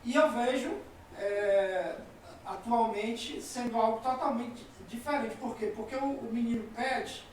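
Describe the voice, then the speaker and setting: A man's voice: very high voice, a little slow, a little hiss or hum. Brazilian man in his forties, echoey. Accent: Brazilian Portuguese. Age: 40s. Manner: calm, agreeing, tells personal examples. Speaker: talk show guest. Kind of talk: conversational talk. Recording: echoey room, voice from far off.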